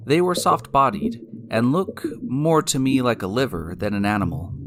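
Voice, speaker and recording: A man hosting and narrating a scripted science story in a deliberate, explanatory way, speaking directly to the listener. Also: medium pitch, sing-song, a bit bright, a little hiss or hum. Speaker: podcast host and narrator. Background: music.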